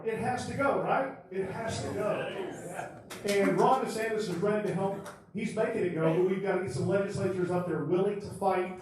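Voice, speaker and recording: A middle-aged man giving a plainspoken, upbeat campaign speech at a candidate forum. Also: high voice. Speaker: political candidate. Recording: echoey hall, distant mic.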